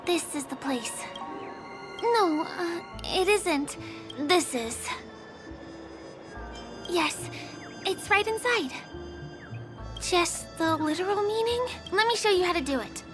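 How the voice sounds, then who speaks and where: An actress playing a gentle, polite young woman guiding someone in a video game, with a soft, courteous delivery. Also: extremely high pitch, lively intonation, slow pace, pauses often, exaggerated voice. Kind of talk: video game character acting. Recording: music playing behind the voice.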